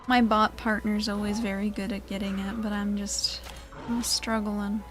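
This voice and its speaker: A woman's voice: mid-range voice, little pitch movement, normal speed, fairly bright tone, slight background noise. A woman giving casual gameplay commentary, muttering self-deprecating reactions as she plays. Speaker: gaming video creator. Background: video game music.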